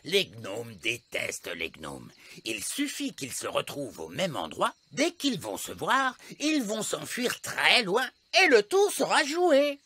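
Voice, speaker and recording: A man voicing a crafty, excitable older male elf in a children's cartoon, coaxing and insistent in manner. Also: high-pitched, slow, a little hiss or hum, exaggerated voice. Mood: scheming. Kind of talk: animated children's cartoon acting.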